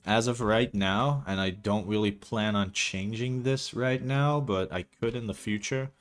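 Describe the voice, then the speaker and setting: Young belgian guy, lowish voice, rambling streamer chat, casual swearing, faint music behind. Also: measured pace. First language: not English. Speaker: streamer building a game map. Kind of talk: casual livestream commentary.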